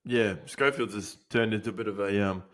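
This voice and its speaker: A young Australian man hosting a podcast, talking casually with lots of swearing, fillers and restarts. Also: on the low side. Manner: laid-back, swears a lot, halting with fillers. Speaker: podcast host.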